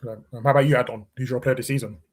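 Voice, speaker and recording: A man's voice: medium pitch, fairly even intonation, very fast, breathy edge. A man hosting a football podcast, chatting casually with a panel.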